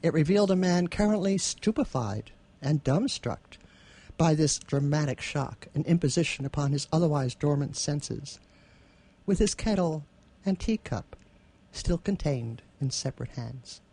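A woman's voice: low-pitched, lively intonation, a little slow, mellow, slightly rough. A woman co-hosting a radio show, chatting casually and reading literary prose. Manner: chuckles often while telling anecdotes.

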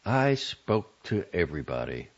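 A man's voice: mid-range voice, wildly swooping pitch, a little slow, gravelly, a little mellow, lo-fi sound, voice pushed up, exaggerated voice. A man voicing a brash, bossy, irritable woman in an audiobook, with a sardonic, clipped, emphatic delivery. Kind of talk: audiobook character dialogue.

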